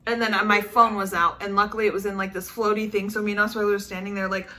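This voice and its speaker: Bright-voiced woman, playful vlog chat. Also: medium pitch, flat delivery, fast talker. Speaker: vlog co-host telling stories over photos. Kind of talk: casual storytelling chat with partner.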